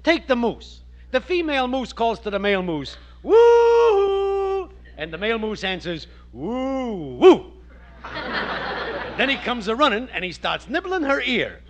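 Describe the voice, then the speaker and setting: Brash American neighbor, very high voice, wildly swooping intonation, wisecracking slang, vintage radio comedy acting. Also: male voice, wildly swooping pitch, measured pace, lo-fi sound, exaggerated voice. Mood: teasing, coaxing. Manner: wisecracking, emphatic, working-class slangy speech. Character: brash nosy male neighbor and pal, know-it-all schemer.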